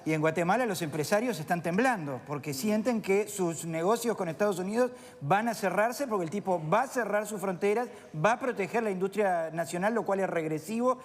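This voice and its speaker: A man with a notably high voice puts direct, probing questions to a guest. His voice carries the long reverb of a studio. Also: a little fast, hardly any pauses. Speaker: TV panelist. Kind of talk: panel discussion.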